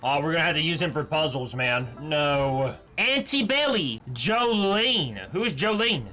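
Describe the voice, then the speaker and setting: Bright-voiced, offhand male gaming streamer. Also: a little high, animated, a little slow, faint music behind. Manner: blunt, offhand, slangy. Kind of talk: casual live reaction commentary.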